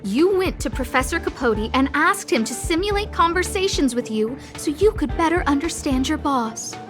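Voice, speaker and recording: High-voiced, theatrical young noblewoman. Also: fairly bright tone, full character voice. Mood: accusing, triumphant. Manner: theatrical, emphatic delivery. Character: earnest, dramatic young noblewoman boss, bossily theatrical. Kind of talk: anime-style video game voice acting.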